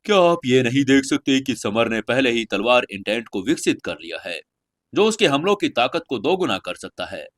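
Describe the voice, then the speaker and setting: A man's voice: medium pitch, big pitch swings, normal speed, fairly bright tone. Guy narrator doing a middle-aged man in a fantasy audio story, impressed, earnest, kinda in awe. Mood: hushed explaining. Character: impressed middle-aged male onlooker explaining a warrior's power.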